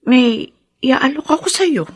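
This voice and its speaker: A woman's voice: sits high, lively intonation, slow, slightly rough, exaggerated voice. An actress playing a lonely, earnest middle-aged woman in a Filipino radio drama, speaking with a heartfelt, sighing manner. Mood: hesitant, earnest.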